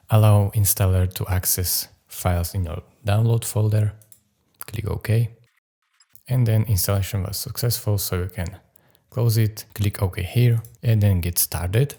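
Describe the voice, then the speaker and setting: Male tutorial narrator, deep and soft-toned, giving step-by-step software instructions. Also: vocal fry. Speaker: tutorial host.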